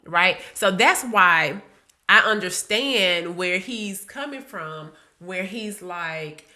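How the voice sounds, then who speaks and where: A woman's voice: lowish voice, lively intonation, slow, sharp tone. A woman in her thirties co-hosting a podcast, chatting casually in a blunt, profane way that often breaks into laughs. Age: 30s. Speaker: podcast co-host. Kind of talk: casual chat.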